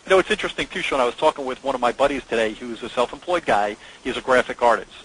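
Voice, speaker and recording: A man's voice: medium pitch, rapid-fire, fairly bright tone, poor audio. A man speaking as co-founder and host on a conference call, giving a conversational, promotional sales presentation. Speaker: company co-founder hosting a presentation. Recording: clear background noise on conference call.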